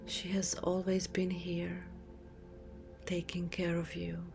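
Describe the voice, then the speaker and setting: A woman leads a guided relaxation very slowly, in a soft half-whisper. Her delivery is soothing and nearly level in pitch, with long pauses between phrases. Quiet music plays behind her. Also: completely flat delivery, creaky. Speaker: yoga nidra teacher.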